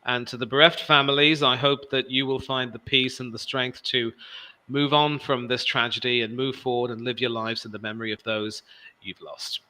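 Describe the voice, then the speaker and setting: A man in his forties, a guest pundit on a casual sports livestream, speaking loudly and emphatically and often cutting in. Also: medium pitch, fairly even intonation, moderate pace, rough recording. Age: 40s. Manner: loud, emphatic, talks over others.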